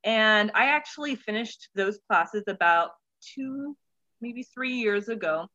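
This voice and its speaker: A woman's voice: a little high, slow pace, pushed and bright, lo-fi sound. A woman in her twenties, a children's pastor, chatting candidly and informally as an interview guest. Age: 20s. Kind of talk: casual interview chat.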